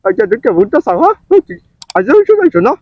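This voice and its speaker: A man playing an excitable old village farmer, spluttering and repeating his words in a comic prank-call sketch. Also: very high voice, quick pace, dry recording, muffled recording, exaggerated voice. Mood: frantic outrage. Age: older.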